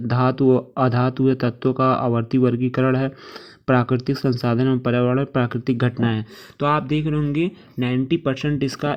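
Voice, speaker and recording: Man teaching in a flat tone. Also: medium pitch. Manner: repeatedly checks in with short tag phrases, mixes in English terms. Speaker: online exam-prep teacher. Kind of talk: informal instructional lecture, reading through lists. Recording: dull, muffled lo-fi voice track.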